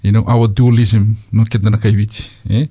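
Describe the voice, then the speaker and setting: A man with a Fijian accent hosting a community radio chat, halting with many fillers and slipping into Fijian phrases. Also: fairly low pitch, level tone, warm tone, breathy edge, no room sound. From Fiji. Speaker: radio host.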